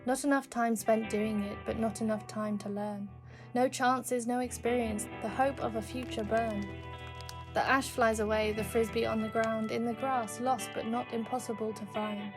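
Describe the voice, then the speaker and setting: A woman reading a spoken word poem in an even, steady recital. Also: on the high side, moderate pace. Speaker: poetry reader. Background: soft music.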